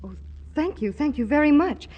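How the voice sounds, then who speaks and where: Indignant young working gal, 1940s radio comedy: high pitch, very animated, stammering protests. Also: female voice, moderate pace, highs cut off. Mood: meek, grateful. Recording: noisy 1940s radio broadcast recording.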